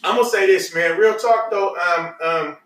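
A man's voice: sits high, normal speed, a bit bright, slight background noise. An adult man casually telling family stories, candid and repeating himself as he goes. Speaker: podcast host. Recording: roomy echo, slightly distant mic.

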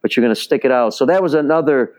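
Smooth-voiced man telling stories. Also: medium pitch, fast talker, darkish tone. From Chicago, US. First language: Italian. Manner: rambling, many fillers and restarts. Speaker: baseball instructor, podcast guest. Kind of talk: casual interview storytelling.

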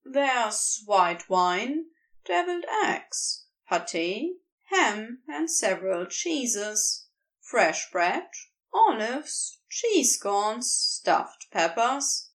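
A woman reading a story aloud as scripted narration, in a measured and expressive storytelling manner. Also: on the high side. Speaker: podfic reader.

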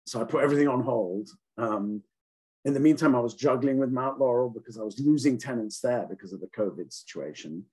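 A man's voice: mid-range voice, a little mellow, husky. A man who grew up in England, talking casually as an interview guest about leasing offices, with frequent ums and restarts. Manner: halting, many ums and restarts. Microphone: call audio.

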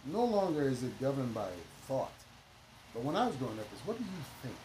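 A man speaking as a podcast guest, emphatic and preachy, filling his talk with "you know". His voice sits at a middle pitch. The recording is echoey, with steady background noise behind him. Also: a little fast. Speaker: guest minister and educator. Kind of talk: conversational podcast interview.

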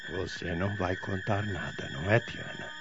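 An actor playing a kindly, ailing older father in a radio drama, speaking haltingly and gently. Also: sits low, background noise, close to an everyday voice. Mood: pleading. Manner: halting, stammering. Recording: dull lo-fi radio drama, music behind.

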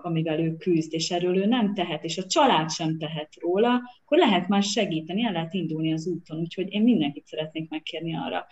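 A woman's voice: fairly low pitch, dull audio. A woman child psychologist speaking earnestly in a podcast conversation, appealing directly to parents. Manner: earnest, emphatic, appealing to listeners.

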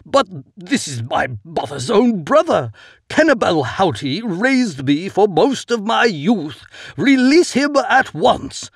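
A man's voice: a little high, sing-song, slow, a little mellow, no room sound, clean studio sound, pitched above the performer's own voice. A man voicing an earnest, pious young male count with a cherubic, devout manner and a solemn, sing-song way of praying. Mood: indignant.

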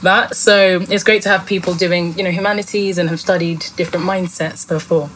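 A woman's voice: fairly low pitch, fairly even intonation, a little fast, a bit bright, slight background noise, poor audio. A young woman hosting a casual podcast chat, asking long rambling questions and correcting herself as she goes. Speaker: podcast host.

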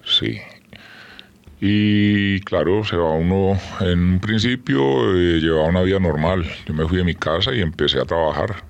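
A man with a Colombian Spanish accent and an extremely low, deep voice. He answers plainly and tersely, with little flourish. His tone stays flat and matter-of-fact. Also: normal speed, no echo. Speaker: interviewee. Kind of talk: interview answers.

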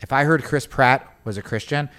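A man's voice: medium pitch, a little fast, very noisy. A young man hosting a podcast, chatting casually and joking, acting out quoted voices. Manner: animated, joking, acts out quotes. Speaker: podcast host. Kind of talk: casual comedic chat.

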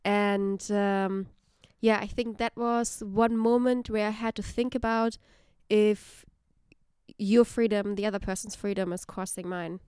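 A young woman talks reflectively in a podcast discussion. Her voice is strikingly clear and smooth, at a middle pitch, recorded dry with very little room around it. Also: fairly even intonation, measured pace, darkish tone, dry recording. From Germany. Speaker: podcast guest. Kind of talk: reflective discussion, personal anecdote.

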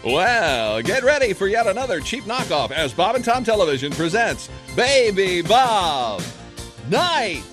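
A man's voice: high voice, sing-song, a little slow, a bit bright, soft background music. A man announcing a spoof TV promo in a punchy, playful read.

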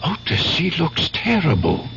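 An actor playing an earnest, alarmed doctor in a 1970s radio drama, speaking with grave, emphatic insistence. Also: male voice, fairly high pitch, huge pitch swings, a little slow, fairly bright tone, heavily acted. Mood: insistent. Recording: dull lo-fi radio recording with hum.

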